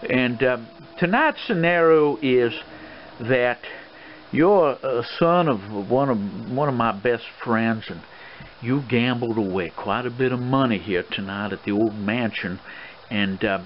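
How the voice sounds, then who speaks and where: A man's voice: mid-range voice, measured pace, lightly acted. A man playing an elderly colonel and swashbuckling gambler in an ASMR role-play, courtly and boastful, with a folksy, rambling, hesitant delivery. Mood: folksy, explaining. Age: older. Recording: dull lo-fi sound with clear background noise.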